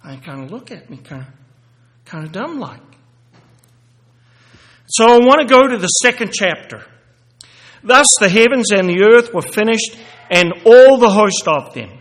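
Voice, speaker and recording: A man giving a sermon and reading scripture aloud, halting with many fillers and restarts, then growing louder and more emphatic as he reads. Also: sits high, lively intonation. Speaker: lay preacher. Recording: echoey hall reverb.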